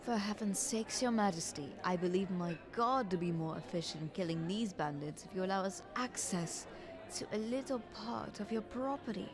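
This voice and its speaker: A woman playing a scheming, flattering queen in an audio roleplay, speaking in a haughty, ornate courtly manner. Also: medium pitch, moderate pace, crowd noise, lightly acted. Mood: haughty, persuasive. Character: visiting queen, scheming, flattering, condescending royal negotiator.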